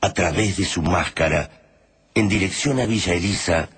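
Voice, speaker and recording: A man's voice: sits low, moderate pace, fairly bright tone, husky, heavily acted. A man with an Argentine accent performing as the grave, poetic narrator of a radio drama about war. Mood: solemn. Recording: noisy ambience behind the voice.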